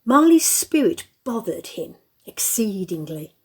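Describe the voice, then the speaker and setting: Slow, husky woman narrator. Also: mid-range voice, big pitch swings, a little mellow. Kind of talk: dramatic storybook narration.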